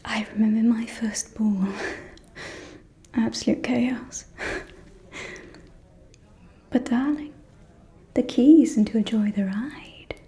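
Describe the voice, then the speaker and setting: Woman playing a slow, whispering vampire socialite. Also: fairly high pitch, warm tone. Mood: playful, coy. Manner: drawling, coy, theatrical. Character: gossipy, flamboyant vampire socialite lady, playful and coy. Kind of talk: whispered ASMR roleplay.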